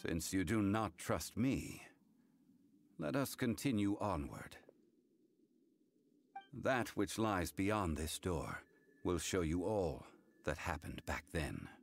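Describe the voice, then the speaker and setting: A man playing a calm, grave consultant in a video game, speaking formally and with solemn measure. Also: low voice, slow, creaky, pauses often, a little hiss or hum, exaggerated voice. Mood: somber, measured. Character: calm, grave, worldly male consultant recounting hard truths. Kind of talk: English video game voice acting.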